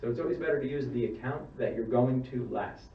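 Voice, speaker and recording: A man's voice: mid-range voice, brisk. A man fielding audience questions at a church-hall seminar. He explains plainly, piece by piece, and often restarts his sentences. He is recorded from across an echoey room, with a muffled, lo-fi sound and a faint hum behind him. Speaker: funeral director. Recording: distant mic, roomy hall, dull sound, faint hum.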